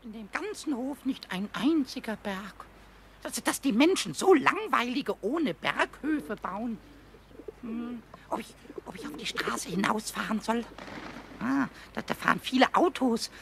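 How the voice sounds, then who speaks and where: An actor voicing a mischievous, impatient little male kobold in a children's radio play, squeaky and excitable. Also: a little slow, creaky, slight background noise, heavily acted. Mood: scheming.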